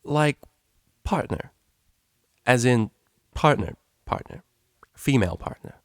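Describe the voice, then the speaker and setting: A man's voice: medium pitch, lively intonation, takes it slowly, no echo, clean studio sound, voice pushed up. A man voicing a brash young female unicorn DJ in a slangy, offhand storybook reading. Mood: awkward, flustered.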